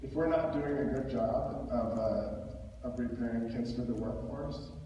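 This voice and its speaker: A man answering a question at a public forum, haltingly searching for his words. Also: mid-range voice, mellow. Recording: very echoey hall, far mic, muffled, faint noise.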